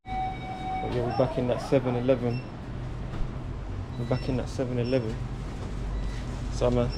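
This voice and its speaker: A man's voice: mid-range voice, wildly swooping pitch, a little slow. A man chatting casually as he vlogs while walking, in a laid-back, slangy way. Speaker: travel vlogger. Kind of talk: casual walking vlog commentary. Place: night street and convenience store in a city. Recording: loud street traffic noise.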